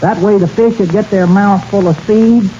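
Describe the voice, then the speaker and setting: A man's voice: high-pitched, fairly even intonation, moderate pace, dark tone, lightly acted. A man playing a silly, boastful country cowboy in 1940s radio comedy, telling tall tales in rustic, folksy speech. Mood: eager, silly. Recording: dull, hissy 1940s radio broadcast.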